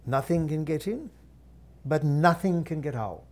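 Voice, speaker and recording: A man channels a guide entity in a formal, unhurried teaching voice. His pitch sits a little high and his tone is mellow and dark. He breaks his phrases with long pauses and quiet hums. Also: animated. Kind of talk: slow deliberate channeled teaching.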